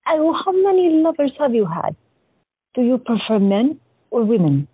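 A woman playing a gentle, hesitant young artist in an audio drama. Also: on the high side, sing-song, moderate pace, husky, dry recording, full character voice. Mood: shy, earnest. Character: soft-spoken young woman artist, wistful. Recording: dry, tinny phone-like sound.

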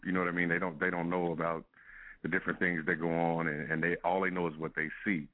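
A man's voice: low voice, little pitch movement, fast talker. A man, a music artist guest, talking in a laid-back casual way with frequent laughs and fillers over a phone call. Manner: laid-back, laughs often, fillers like "you know what I'm saying". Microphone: telephone. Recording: phone-line call-in audio.

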